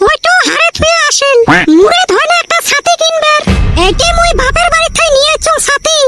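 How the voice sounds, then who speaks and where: Shrill scolding cartoon housewife. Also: female voice, brisk. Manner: scolding, exclaiming, rural dialect. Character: quarrelsome village housewife, sharp-tongued, quick to take offense. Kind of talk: cartoon comedy dubbing. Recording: loud music bed under voice.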